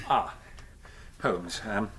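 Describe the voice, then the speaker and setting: An actor playing an earnest, awkward young English student in a radio drama, polite and hesitant in manner. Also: male voice, lowish voice, expressive, very slow, bright, forceful tone, slight background noise, exaggerated voice. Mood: shy, hesitant. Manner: hesitant, apologetic, polite, well-spoken.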